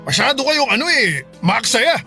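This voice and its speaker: A man's voice: extremely high pitch, very animated, normal speed, creaky, sharp tone, soft background music, full character voice. An actor playing a cruel, stingy, bullying husband and father in a radio drama. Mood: irritated, dismissive. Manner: bullying, sneering, dismissive. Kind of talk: Tagalog radio drama acting.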